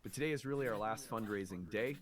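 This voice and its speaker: A man's voice: on the low side, fast. A man hosting a conversational astrology show, explaining things in an earnest way. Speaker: show host.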